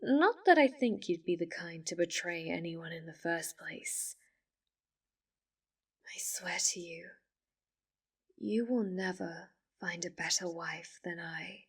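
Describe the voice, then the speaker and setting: Woman acting a slow, formal, possessive fox spirit. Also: on the low side, breathy edge, recorded from a distance, heavily acted. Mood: tender, possessive. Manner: formal, measured, composed wording. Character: female fox spirit, polite, obsessively devoted, quietly menacing. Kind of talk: ASMR roleplay acting.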